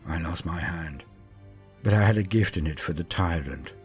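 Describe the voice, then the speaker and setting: A man's voice: sits low, normal speed, loud music behind the voice. A man narrating a scripted story as a host, in a theatrical, dramatic way. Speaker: narrator and host of a lore channel.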